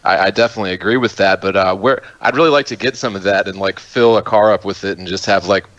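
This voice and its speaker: A man with a fairly low voice chats casually, thinking out loud in a loose, laid-back ramble. The audio is dull and lo-fi, like an online call. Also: quick pace. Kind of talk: casual chat.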